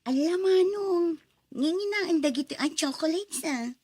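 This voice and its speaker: An actress as a young woman office worker in a radio drama. Her voice is high and recorded dry. She is coy and expressive, with sighing exclamations. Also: unhurried, no echo. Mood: coy, grateful. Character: young female office worker, coy, torn over brother-in-law.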